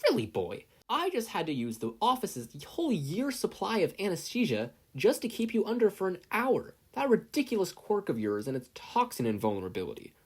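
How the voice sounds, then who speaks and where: A man's voice: sits high, wildly swooping pitch, moderate pace, few pauses, husky, pitched above the performer's own voice, heavily acted. A man voicing an exasperated older woman medic, scolding and grumbling, in a read-aloud story.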